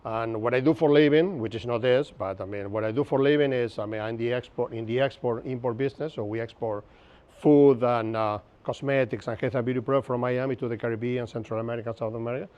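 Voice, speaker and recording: A man's voice: mid-range voice, quick pace, a little mellow. Man, 50s, Buenos Aires accent, Spanish native speaker, chatty instructor, open-air pavilion, slightly muffled sound. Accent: Argentine Spanish, Buenos Aires. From Argentina. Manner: chatty, jokey, keeps checking in with the audience. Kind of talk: informal workshop lecture. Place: open-sided pavilion outdoors.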